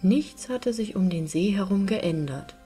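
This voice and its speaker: A woman's voice: medium pitch, animated, measured pace. A woman reading a fairy tale aloud as scripted audiobook narration. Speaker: storyteller, audiobook reader. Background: soft music.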